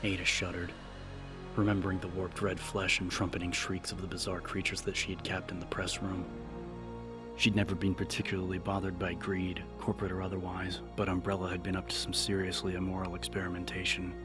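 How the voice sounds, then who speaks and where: A man's voice: deep voice, monotone, fairly quick. A man reading scripted dramatic fiction as an audiobook narrator. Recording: music behind the narration. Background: music.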